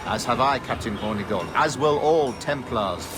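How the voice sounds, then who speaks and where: An actor playing a smug, cultivated colonial governor in a video game, with formal, measured period speech. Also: male voice, medium pitch, expressive, over music, lightly acted. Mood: calm, assured. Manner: formal, measured, self-satisfied. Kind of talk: dramatic period game dialogue.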